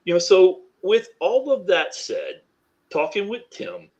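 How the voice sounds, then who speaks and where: A deep-voiced man from North Carolina, a podcast guest speaking candidly and reflectively, with frequent "you know" fillers. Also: huge pitch swings, a little slow, lo-fi sound. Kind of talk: candid interview conversation.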